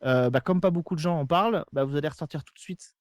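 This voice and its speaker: Fast-talking, higher-voiced man on a podcast. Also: no room sound, clean studio sound. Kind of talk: casual round-table chat.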